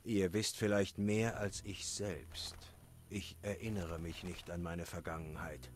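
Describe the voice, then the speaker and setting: A man's voice: low voice, level tone, unhurried. A man voicing a stoic, terse monster hunter in a fantasy video game, matter-of-fact in his delivery. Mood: stoic, inquiring. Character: stoic male monster hunter, terse wanderer without memory. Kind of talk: scripted game dialogue.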